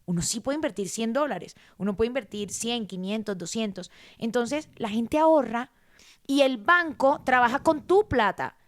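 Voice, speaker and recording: Woman, fairly high voice, animated, casual finance chat. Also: normal speed. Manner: animated, explanatory, repeats words for emphasis. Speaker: guest. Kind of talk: casual conversation.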